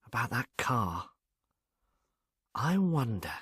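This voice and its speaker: A man's voice: medium pitch, very animated, takes it slowly, bright, forceful tone, slightly rough, no echo, studio quality, full character voice. A man voicing a composed, practical young woman who speaks politely and directly in a mystery audiobook. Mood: musing. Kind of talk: audiobook character voice, British mystery novel.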